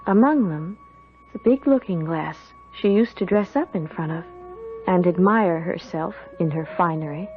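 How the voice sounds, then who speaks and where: A woman's voice: lowish voice, normal speed, over music, close to an everyday voice. An actress playing an earnest, guilt-ridden young servant girl in old-time radio drama, with a sensitive, dramatic delivery. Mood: haunted, solemn. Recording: muffled old radio broadcast.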